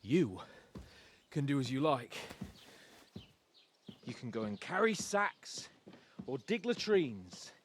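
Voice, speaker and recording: A man's voice: mid-range voice, big pitch swings, takes it slowly, pauses often, slight background noise. An actor playing a haughty young man dismissing a servant. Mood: disdainful. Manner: disdainful, dismissive.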